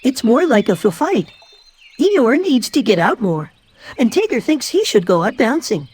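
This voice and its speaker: A man's voice: very high-pitched, very animated, normal speed, a little mellow, slightly rough, pitched above the performer's own voice, exaggerated voice. A man voicing a very small, timid, eager piglet with a thin little voice in a children's storybook. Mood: dejected. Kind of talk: children's storybook read-aloud.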